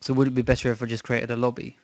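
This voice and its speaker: A man with a croaky, rough-edged voice talks his way through setting up a racing game on a live stream, relaxed and thinking aloud. His pitch sits in the middle, and the close microphone sounds dry, with no room around it. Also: rapid-fire, no room sound. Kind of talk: casual gaming stream chat. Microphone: desk microphone.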